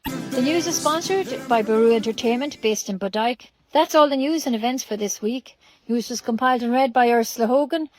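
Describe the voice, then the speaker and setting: A woman's voice: a little high, a little fast, music in the background, poor audio. A woman with an Irish accent reading scripted local news as a community radio newsreader.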